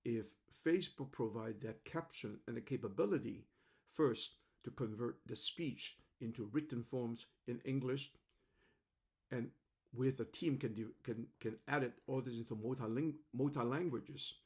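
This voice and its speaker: A man in his seventies from China, a native Cantonese speaker, talking to his online audience in a rambling, halting, reflective monologue. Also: mid-range voice, darkish tone. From China (Shanghai and Macau). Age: 70s. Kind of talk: personal video monologue.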